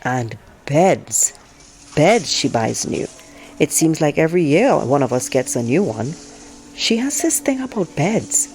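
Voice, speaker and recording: An outspoken teenage girl voiced by a woman reader tells her family story in a low-pitched voice. She is frank, opinionated and indignant, with a sarcastic, conversational edge, as in an audiobook. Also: big pitch swings, unhurried, a little mellow, faint music behind, exaggerated voice. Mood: wry, exasperated. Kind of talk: first-person audiobook read-aloud.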